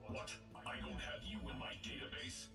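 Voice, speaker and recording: A man voicing a cold, genocidal game robot with stiff, formal, menacing speech and metallic processing. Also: normal speed. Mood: puzzled. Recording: game audio, echoing, loud music behind. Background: loud game music.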